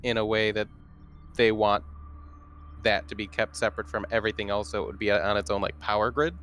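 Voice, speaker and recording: A man's voice: fairly low pitch, steady tone, a little fast, fairly bright tone. A man talking casually and slangily as a player in an online tabletop game. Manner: informal, slangy, mild swearing. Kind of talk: casual game chat over video call. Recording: video call, music behind the voice.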